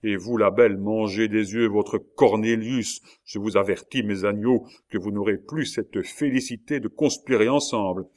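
A man's voice: on the low side, moderate pace, few pauses, a little mellow, close to an everyday voice. A man voicing an old jailer father in an audiobook, menacing, sarcastic and choked with anger. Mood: icy mocking triumph. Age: older.

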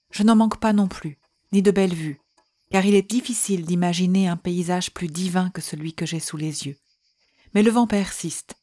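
A woman reading historical letters aloud in a measured, gentle way. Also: fairly low pitch. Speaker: reader.

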